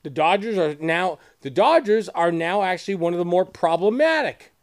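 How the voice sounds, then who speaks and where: Male host, higher-pitched, joking and ribbing, loud emphatic outbursts. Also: lively intonation, normal speed. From Los Angeles area resident. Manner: joking, teasing, crude banter. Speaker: podcast host. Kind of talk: casual chat.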